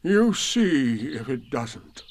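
A man playing a gloomy old donkey, sarcastic, very slow drawl, lilting pitch, storybook voice. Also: very animated, full character voice. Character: gloomy old male donkey, glum and self-pitying. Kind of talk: storybook reading.

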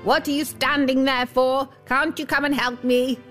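A woman's voice: fairly high pitch, a little slow, faint music behind, natural voice. A woman putting on a snappish little old dwarf. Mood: impatient, insulting. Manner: snappish, scolding, exclaiming. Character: irritable, rude little old male dwarf. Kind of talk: storybook character voice.

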